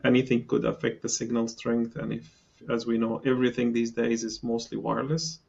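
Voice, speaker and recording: Gravelly, hesitant man from Palestine. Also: medium pitch, monotone, fairly quick, darkish tone, lo-fi sound. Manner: hesitant, many fillers and restarts. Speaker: engineering guest. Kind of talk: podcast interview.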